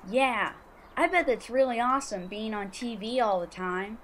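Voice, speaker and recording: A woman's voice: a little high, moderate pace, slight background noise, natural voice. A woman playing a young, bullied schoolboy, eager and naive, in an amateur audio drama. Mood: eager, starstruck.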